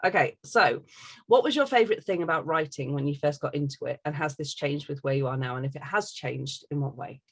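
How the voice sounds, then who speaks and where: A woman's voice: low-pitched, a little fast. A woman hosting a casual interview, reading out questions and playfully teasing her guest. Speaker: podcast interviewer.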